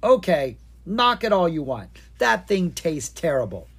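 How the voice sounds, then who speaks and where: A narrator voicing a plucky, easily exasperated young boy dragon in a children's storybook, excitable and grumbly. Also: medium pitch, unhurried, breathy edge, pitched above the performer's own voice, full character voice. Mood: cocky.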